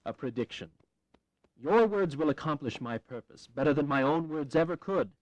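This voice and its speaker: A man's voice: fairly high pitch, expressive, unhurried, no echo, low-quality recording. An actor playing a blunt, fiery and eloquent congressman from Massachusetts in a historical audio drama, earnest and wry, with formal, emphatic phrasing. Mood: earnest, persuasive.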